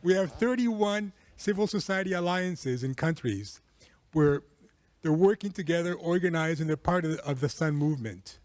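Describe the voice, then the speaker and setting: A man from Peru giving earnest, measured conference panel remarks as a civil society network chair, with frequent um fillers. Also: on the high side, darkish tone, no echo. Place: conference hall.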